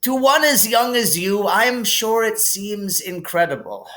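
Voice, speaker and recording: A man voicing a kindly, whimsical elderly wizard headmaster in a storybook reading, with calm, gently formal phrasing. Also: sits high, measured pace, voice pushed up, heavily acted. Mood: wise, gentle. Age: older. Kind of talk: audiobook character reading.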